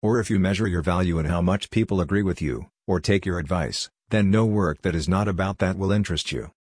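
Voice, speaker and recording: A man's voice: low-pitched, brisk, a little mellow, breathy edge, no room sound, clean studio sound. An older man from Hungary, a native Hungarian speaker, giving a blunt, lecturing monologue as a workshop leader. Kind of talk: scripted monologue.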